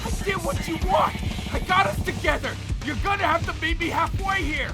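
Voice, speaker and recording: A man's voice: very high-pitched, a little fast. A man voicing an unhinged, paranoid survivor who hears voices, with frantic, indignant outbursts, in a dramatized zombie horror comic. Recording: loud music behind the voice.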